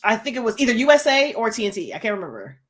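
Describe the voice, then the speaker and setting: A woman's voice: on the high side, very animated, racing. A woman in her late thirties chatting casually as a livestream co-host, slangy and emphatic, repeating words for effect. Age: late 30s. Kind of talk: casual, unscripted fan discussion chat.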